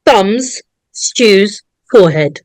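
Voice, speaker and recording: Woman narrating script directions, takes it slow, dry sound with no echo. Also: mid-range voice, animated, a little mellow. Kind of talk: reading screenplay stage directions aloud.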